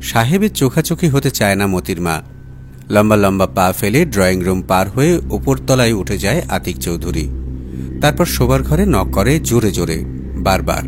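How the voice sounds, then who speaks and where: Low-voiced man narrating a dramatic story. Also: sing-song, normal speed, a little hiss or hum. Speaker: audiobook narrator. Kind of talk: dramatic Bengali story reading.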